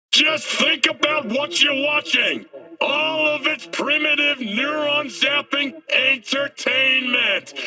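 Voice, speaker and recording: A man's voice: very high voice, recorded from a distance, poor audio. A man playing a fiery, paranoid anti-corporate street prophet in a video game, haranguing people in a declamatory protest rant. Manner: declamatory, haranguing, drawn-out emphasis. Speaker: street agitator, conspiracy preacher. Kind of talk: ranting protest speech, video game acting.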